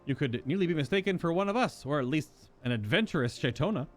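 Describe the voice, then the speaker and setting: A man puts on a friendly herder of a nomadic fantasy tribe. The delivery is a welcoming, storytelling game read with a lively, swinging pitch over faint music. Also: fast, close to an everyday voice. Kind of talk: reading fantasy game dialogue aloud.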